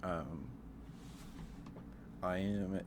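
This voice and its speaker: A man's voice: low-pitched, drawn-out words, background noise. A man hosting a casual ASMR livestream, chatting with viewers in a hesitant, rambling way that often restarts mid-thought. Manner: chatting back to live viewers. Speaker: livestream host.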